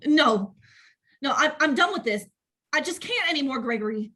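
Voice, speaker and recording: A woman playing a bitter, jealous, sharp-tongued wife who is curt and scornful, in a screenplay table read. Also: high voice, expressive, moderate pace. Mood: angry, fed up.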